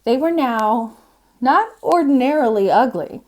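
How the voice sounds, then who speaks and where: A woman reading a storybook aloud as a librarian host, in an expressive, measured storytelling manner. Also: high-pitched. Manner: expressive, measured storytelling with brief informal asides.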